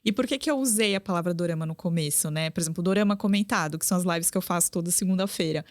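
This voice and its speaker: A woman's voice: lowish voice, racing, no room sound, clean studio sound. Chatty woman guest. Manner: chatty, with frequent tag questions. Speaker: guest, drama YouTuber. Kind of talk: casual conversational interview.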